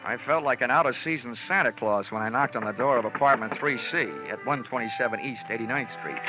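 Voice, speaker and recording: An actor playing a wry, wisecracking insurance investigator narrating in 1940s radio detective style. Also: male voice, mid-range voice, brisk, close to an everyday voice. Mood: dry, wry. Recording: dull old radio recording with music.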